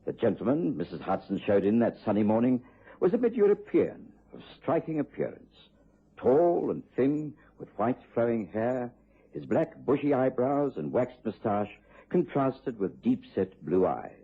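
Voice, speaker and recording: A genteel, old-fashioned English doctor in a radio play. His voice is husky and fairly low, with wide, animated swings of pitch, and the recording is narrow and tinny. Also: moderate pace. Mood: measured narration. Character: genteel, easily shocked English doctor, loyal friend of a detective. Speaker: actor playing narrator and sidekick in radio drama. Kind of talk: BBC radio drama acting.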